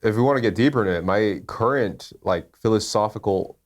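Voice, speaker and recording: A man's voice: fairly low pitch, fairly quick. A man from California with an American accent, a podcast guest talking casually and hesitantly, with many fillers. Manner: meandering, hesitant, full of fillers. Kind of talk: casual conversation.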